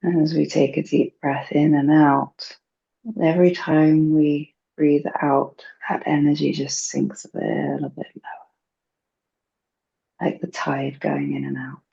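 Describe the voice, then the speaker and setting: Husky, low-fi woman guiding meditation. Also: little pitch movement. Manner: gentle, hushed, unhurried phrasing. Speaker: guided meditation teacher. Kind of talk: slow, soothing guided meditation. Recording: dull, muffled lo-fi recording.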